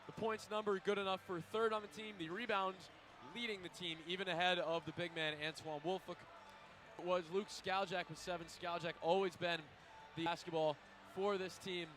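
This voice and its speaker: A young man cohosting a student radio basketball pregame courtside, emphatic and loud, running through stats. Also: high voice, fairly even intonation, normal speed. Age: young adult. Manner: emphatic, loud, stat-heavy. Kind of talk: live basketball pregame analysis. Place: courtside in a packed arena. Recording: faint arena noise behind.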